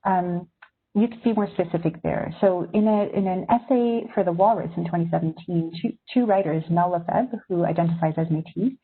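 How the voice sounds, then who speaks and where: A woman's husky, slightly low voice comes through thin, phone-like video-call audio. She grew up in Syria and speaks as a magazine editor, giving a reflective webinar talk to journalism students and weighing each point carefully. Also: moderate pace. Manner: thoughtful, reflective.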